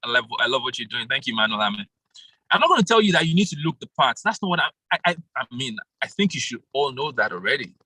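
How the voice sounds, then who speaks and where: Guy coaching speakers on a video call, bright punchy voice, dry sound. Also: mid-range voice, quick pace, slightly rough, no room sound, poor audio. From Nigeria. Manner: animated, laughs often, calls on participants by name. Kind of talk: interactive online workshop talk.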